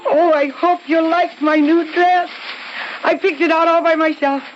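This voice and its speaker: A woman acting in a 1970s radio drama as a young wife who is girlish, excitable and petulant. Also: very high voice, measured pace, soft background music. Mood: girlish excitement. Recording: muffled, noisy 1970s AM radio recording.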